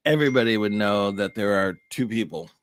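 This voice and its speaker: A man's voice: lowish voice, fairly quick, dry recording. A middle-aged man co-hosting a casual chat, laid-back and rambling. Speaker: magician and co-host. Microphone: studio mic.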